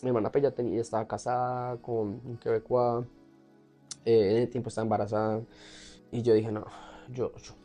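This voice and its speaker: Male interview guest, Colombian accent, soft mellow tone, mid pitch, candid and conversational, light music behind. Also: level tone, brisk. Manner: candid, often laughing as he talks. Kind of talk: casual personal storytelling.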